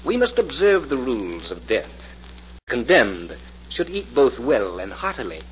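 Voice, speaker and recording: An actor playing a cruel, commanding, megalomaniac professor in a pompous, declamatory 1940s radio thriller style. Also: male voice, medium pitch, wildly swooping pitch, measured pace. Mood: grandiose menace. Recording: muffled, noisy old radio recording.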